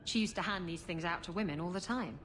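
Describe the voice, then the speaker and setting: A woman's voice: lowish voice, fast, slight background noise, close to an everyday voice. An actress playing a frank, teasing, worldly woman adventurer in a video game, playful and knowing. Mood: wry, matter-of-fact. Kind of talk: dramatic video game dialogue.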